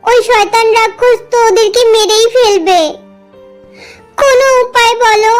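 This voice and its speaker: A woman's voice: extremely high pitch, fairly even intonation, moderate pace, faint music behind. A woman voicing a small, earnest mother bird with a pleading manner in a children's cartoon. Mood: desperate, pleading.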